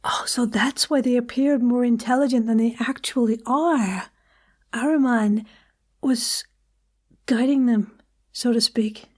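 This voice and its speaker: A shrewd, knowing character in an audio drama. Her voice is fairly high, and she talks deliberately with a slightly sardonic edge. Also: a little mellow. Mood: intent, knowing.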